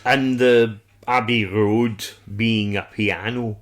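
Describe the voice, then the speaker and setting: A man chatting casually as a podcast co-host, blunt and halting in how he gives his opinions. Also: lowish voice, steady tone. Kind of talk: casual review chat.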